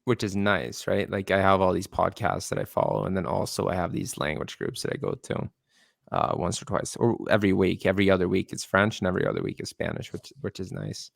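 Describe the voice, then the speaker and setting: A man's voice: low-pitched, fairly quick, creaky, no room sound, clean studio sound. A man co-hosting a casual podcast chat, loose and rambling, laughing and slipping into Spanish. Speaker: podcast co-host.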